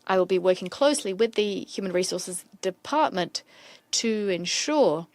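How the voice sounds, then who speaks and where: A woman interpreter renders formal diplomatic speech in measured, steady bursts. Her mid-pitched voice is close to the mic and completely dry, with no room sound at all. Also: dry recording. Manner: steady, measured, in quick bursts between pauses. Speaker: simultaneous interpreter.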